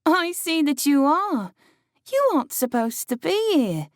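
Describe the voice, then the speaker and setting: A man doing a chirpy, high young woman. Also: very animated, a little slow, dry recording, clean studio sound, full character voice. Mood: chatty. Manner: chatty, folksy. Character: cheerful young woman guard trainee, blunt.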